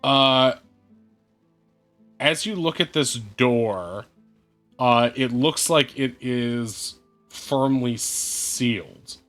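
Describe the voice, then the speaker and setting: A man running an online tabletop game as game master, speaking in a measured way with many hesitant fillers. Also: medium pitch, fairly bright tone, soft background music.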